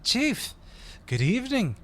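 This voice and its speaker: A man's voice: very high voice, huge pitch swings, slow, background noise, full character voice. A man playing an eager, friendly undercover agent in an audio roleplay, talking in a polite, chatty way. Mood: earnest, polite.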